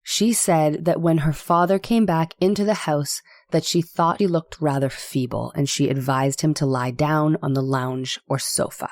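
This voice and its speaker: A woman's voice: low-pitched, fairly even intonation, moderate pace, few pauses, darkish tone. A woman playing a skeptical, relentless nineteenth-century defense attorney in a dramatic reading, questioning in a pointed, formal manner. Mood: insistent. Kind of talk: dramatic reading of a courtroom transcript.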